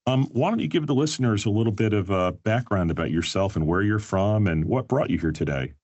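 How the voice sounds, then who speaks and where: Male podcast host, deep and slightly raspy, dry sound, relaxed welcoming delivery. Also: fairly quick, no echo, muffled recording. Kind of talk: podcast interview.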